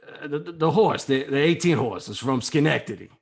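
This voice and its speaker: A man playing a clueless, confused radio caller in a comedy table read, stammering and halting as he talks. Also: a little high, lively intonation, gravelly.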